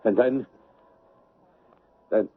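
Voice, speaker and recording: An actor playing a bitter, grieving son in a 1970s radio drama, brooding and deliberate, with dramatic pauses and repeated words. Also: male voice. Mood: dazed, halting. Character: anguished, bitter grieving son recounting his past. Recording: muffled 1970s radio recording.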